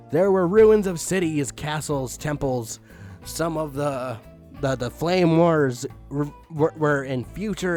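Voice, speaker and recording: A man reading a badly written fan story aloud in a mock-dramatic, exasperated way. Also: a little high. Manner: exasperated, mock-dramatic, sighing and swinging into outbursts. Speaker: reader of fan fiction.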